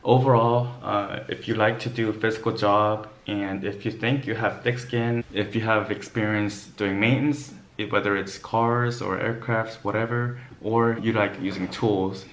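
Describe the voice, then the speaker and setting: A man's voice: lowish voice, steady tone, moderate pace, hardly any pauses, a bit bright, reverberant. A man in his thirties talking casually to a camera, explaining things from his own experience in a small bare room. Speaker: vlogger, former Navy aviation mechanic.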